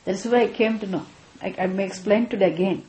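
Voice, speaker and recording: A woman's voice: medium pitch, fast, warm tone, roomy sound, rough recording. A middle-aged woman from Tamil Nadu with a Tamil Indian English accent, teaching spiritual philosophy. She checks with her listeners often and repeats key phrases. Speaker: Vedanta teacher. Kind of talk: spiritual class talk.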